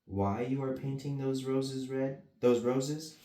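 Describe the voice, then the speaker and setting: A man's voice: medium pitch, steady tone, slow, mellow, voice pushed up, natural voice. A man voicing a polite, earnest, easily vexed young girl in a children's story. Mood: polite, timid. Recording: roomy echo, distant mic.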